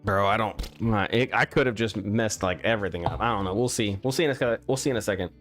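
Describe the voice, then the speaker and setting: A man's voice: lowish voice, fast, a bit bright, faint music behind. Chatty young man streaming his game work. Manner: chatty, exclaims at mistakes, restarts often. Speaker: game developer streaming live. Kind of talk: casual live-stream chat while working. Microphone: studio mic with pop filter.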